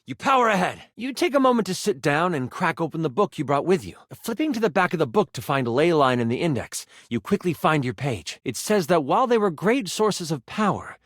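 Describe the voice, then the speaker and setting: Animated, high-pitched male game master narrator. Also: a little fast, hardly any pauses, no echo, studio quality. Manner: storytelling, theatrical. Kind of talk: dramatic second-person fantasy narration in a video game.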